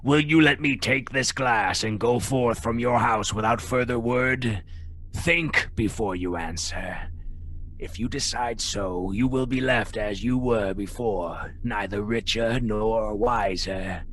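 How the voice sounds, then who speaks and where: Menacing monstrous villain, sharp forceful tone, very slow delivery, gothic radio play, faint noise. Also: male voice, lowish voice. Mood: menacing, grandiose. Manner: menacing, theatrical, slow and deliberate. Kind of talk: gothic radio drama acting.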